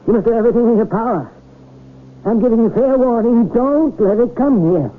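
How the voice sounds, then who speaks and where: An actor playing an earnest, alarmed former research chief giving insistent, ominous warnings in an old-time radio drama. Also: male voice, very high voice, brisk. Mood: urgent warning. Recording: muffled old radio broadcast recording.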